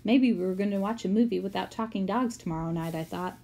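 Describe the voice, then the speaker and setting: Woman, medium pitch, storybook narration, first-person, reading aloud. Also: fast, dark tone. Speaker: reader of a book aloud.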